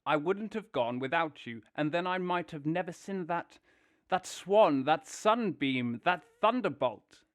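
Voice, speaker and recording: Man as a rapturous storybook toad, high voice, sweeping swoops, grandiose, gushing, audio drama. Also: expressive, measured pace, no echo, studio quality. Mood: gushing, indignant. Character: vain, rapturous male toad, dreamy and car-obsessed.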